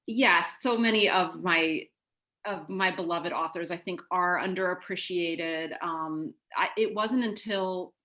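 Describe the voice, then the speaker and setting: A woman's voice: fairly low pitch, pushed and bright. A middle-aged woman from the United States speaking reflectively as an author on a video call panel. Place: home room on a video call. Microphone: video call microphone.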